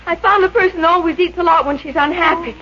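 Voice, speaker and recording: An actress playing a plucky, excitable young heiress, girlish and emphatic, in a 1950s radio comedy. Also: very high-pitched, quick pace. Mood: defiant, triumphant. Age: young adult. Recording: muffled, noisy vintage radio recording.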